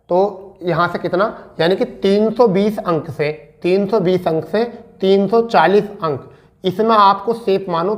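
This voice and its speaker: A man teaching an informal online class, addressing students in a reassuring, instructive way and repeating key points for emphasis. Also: sits high. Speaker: teacher giving exam updates to students.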